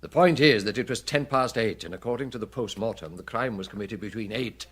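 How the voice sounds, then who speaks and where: An actor with a British accent playing a dry, deliberate, deadpan police detective in a radio drama. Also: male voice, lowish voice, slightly rough. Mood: matter-of-fact. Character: dry, wry, unhurried male police detective chief.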